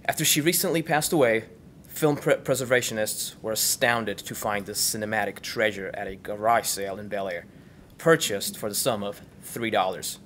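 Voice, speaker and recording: A man's voice: lowish voice, moderate pace, fairly bright tone, a little hiss or hum. A man reading scripted mock-documentary narration as narrator.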